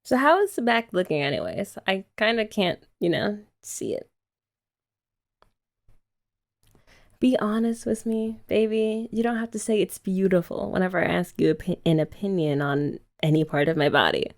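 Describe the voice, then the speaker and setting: Woman playing a chatty young girlfriend, playful and sweet, light fry in the voice, easy mid-range pitch. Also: expressive, normal speed, hardly any pauses, natural voice. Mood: flustered. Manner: chatty, casual, with filler "like" and giggles. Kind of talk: ASMR roleplay.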